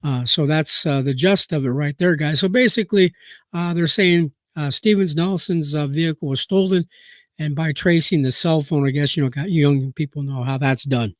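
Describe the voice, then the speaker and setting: A man from Nebraska hosting a boxing news live stream, hoarse from a cold, reading aloud haltingly with many fillers and restarts. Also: fairly high pitch, fairly bright tone, no echo, studio quality.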